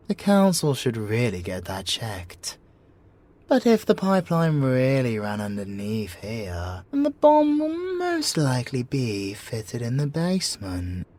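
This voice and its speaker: A man's voice: medium pitch, darkish tone. A British man in an ASMR audio roleplay, playing a sly, teasing, menacing male siren with a theatrical, mock-polite manner.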